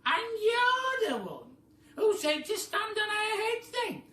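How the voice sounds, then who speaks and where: A man voicing a horrid, insulting wife in a children's story, screaming with drawn-out words. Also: very high-pitched, huge pitch swings, bright, forceful tone, voice pushed up, heavily acted. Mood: furious, despairing. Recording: roomy, noisy, distant microphone.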